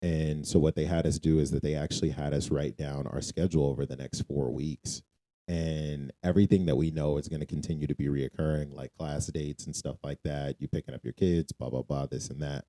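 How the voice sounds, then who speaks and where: A man's voice: extremely low pitch, fairly even intonation, a little fast, dark tone, no room sound. A man co-hosting a podcast, talking casually about faith and repeating phrases for emphasis. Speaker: podcast co-host.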